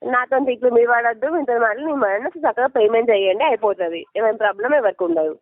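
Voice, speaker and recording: A woman's voice: on the high side, fast talker, no echo. A woman working as a loan recovery agent on a phone call, scolding and pressing insistently in a confrontational way. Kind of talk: debt collection phone call. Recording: muffled, narrow-band telephone line recording.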